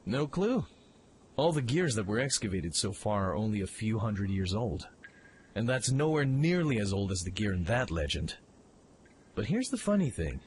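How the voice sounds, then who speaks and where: Man voicing eager young mech pilot, brash, very animated intonation, informal, audio drama, slight ambient noise. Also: on the low side, normal speed. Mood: suspicious, musing. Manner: excitable, informal, impulsive. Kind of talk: fan audio drama acting.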